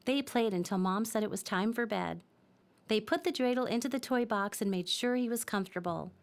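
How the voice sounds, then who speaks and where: A woman narrating a scripted children's story in a simple, expressive storytelling manner. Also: mid-range voice, a little fast.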